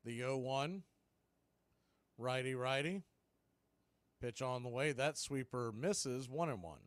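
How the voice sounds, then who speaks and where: A man calling live baseball play-by-play on the radio, in a brisk, conversational way that tracks every pitch and count. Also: expressive, no echo.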